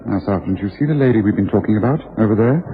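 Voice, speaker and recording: An actor playing a courteous, self-possessed gentleman detective in a vintage British radio drama, crisp and polite, with an English accent. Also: lowish voice, fast, close to an everyday voice. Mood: confident. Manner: crisp, polite, confidently instructing. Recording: muffled, hissy old radio broadcast.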